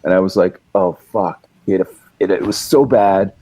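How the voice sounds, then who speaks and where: Middle-aged canadian guy on a podcast, really low voice, dry close mic, rambles and swears a bit. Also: normal speed, mellow, slightly rough, dry recording, rough recording. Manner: rambling, laughing, swears freely, many restarts. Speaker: podcast guest, writer and musician. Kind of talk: casual chat, storytelling.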